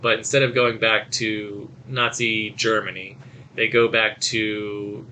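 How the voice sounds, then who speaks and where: A man co-hosting a podcast, giving a casual movie review in a halting, rambling storytelling way with acted-out quotes. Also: on the low side, flat delivery, pushed and bright, a little hiss or hum, rough recording. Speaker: podcast co-host.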